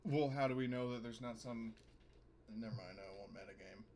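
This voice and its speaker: A man chats as a player in a tabletop game. He is casual, reactive and quick to curse, with a voice of ordinary pitch. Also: a little mellow. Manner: sweary, reactive. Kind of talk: casual game session chat.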